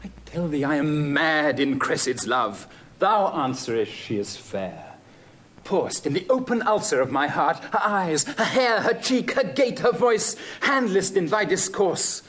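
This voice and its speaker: An actor playing a lovesick, impatient young Trojan prince in classical Shakespearean verse, with impassioned, declamatory delivery. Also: male voice, a little high, sing-song, unhurried, fairly bright tone. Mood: anguished longing. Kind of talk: classical Shakespearean stage acting.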